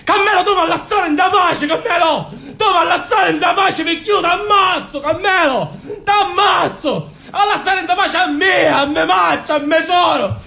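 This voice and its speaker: A man's voice: extremely high pitch, unhurried, gravelly, hardly any pauses, pushed and bright, heavily acted. A man acting a naive, passionate young Sicilian man in an intense, confessional stage monologue with a Sicilian accent. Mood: frantic rage. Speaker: stage actor. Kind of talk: theatrical monologue in dialect.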